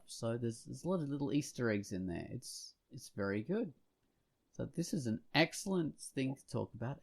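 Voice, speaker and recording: Man with a noticeably creaky voice. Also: medium pitch, darkish tone. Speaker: podcast co-host. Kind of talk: casual, rambling panel chat.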